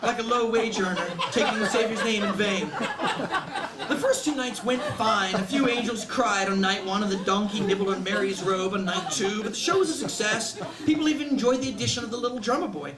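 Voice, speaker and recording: A man reads a funny short story live in an animated storytelling manner. He is caught from a distance in a roomy, echoing space, with an audience audible around him. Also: high voice, fairly quick, over a noisy crowd. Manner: animated comic storyteller, acts out quoted lines. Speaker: author reading his own story. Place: indoor bookstore reading event. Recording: echoey bookshop room, distant mic, audience noise. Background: laughing audience.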